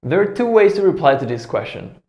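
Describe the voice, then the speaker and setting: A man in his twenties with a Mexican accent, a native Spanish speaker teaching a scripted language lesson with deliberate enunciation. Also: on the high side, big pitch swings, reverberant, dull audio. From Mexico, Guadalajara in Jalisco. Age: 20s.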